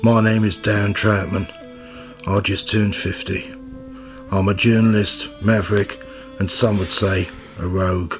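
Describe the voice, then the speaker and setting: A man's voice: lowish voice, level tone, unhurried, soft background music, natural voice. A man in his fifties playing a cynical, world-weary journalist in a radio play, with a wry, dry manner. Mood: wry, jaded. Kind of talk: radio play narration and dialogue.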